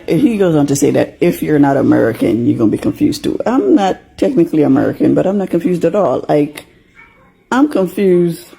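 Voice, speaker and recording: A man's voice: on the high side, vocal fry, mellow, husky. A man from Grenada making his case in a casual reaction clip, emphatic and full of rhetorical questions. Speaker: commenter in a reply clip.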